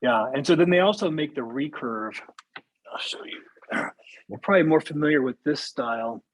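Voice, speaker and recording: Husky man explaining on a video call. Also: on the high side, moderate pace, rough recording. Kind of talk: casual video call chat.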